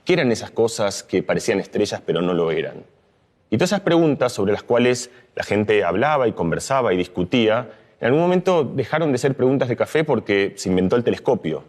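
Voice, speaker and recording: A man's voice: fairly low pitch, big pitch swings, fairly quick, a little mellow. A middle-aged man from Argentina, speaking as a neuroscientist in a reflective explanatory talk, with fillers and restarts.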